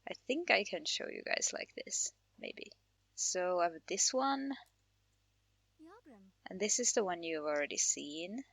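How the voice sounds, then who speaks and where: A woman from Finland gives informal, chatty commentary as she plays a game. Her voice sits a little low for a woman, and the sound is close and free of room echo. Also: sing-song, a little slow, creaky, long pauses, a bit bright, breathy edge. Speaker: gaming channel host. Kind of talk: casual Let's Play commentary.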